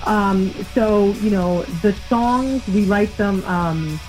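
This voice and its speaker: A woman's voice: mid-range voice, slow pace, a little mellow, rough recording. Chatty upbeat woman, faint music behind. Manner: upbeat, chatty, many fillers. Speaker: band's lead singer, guest. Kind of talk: casual radio interview.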